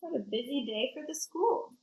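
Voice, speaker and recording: A woman reading a storybook aloud in an expressive, child-directed way, with chatty asides to her young listeners. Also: high voice, moderate pace, echoey room. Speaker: school librarian reading aloud.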